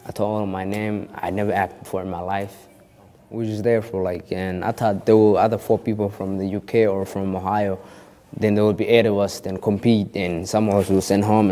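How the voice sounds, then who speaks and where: A man's voice: low voice, warm tone, in a large hall. A young man born in Kenya of a Somali family, telling his story in an interview with lots of restarts and "you know". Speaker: actor interviewed.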